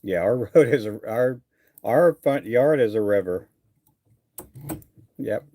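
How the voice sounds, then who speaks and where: A man's voice: mid-range voice, animated, slow pace, mellow. A middle-aged man from Texas chatting casually on a fishing livestream, answering viewer comments in an easygoing, rambling way with many fillers. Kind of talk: casual live chat reading viewer comments.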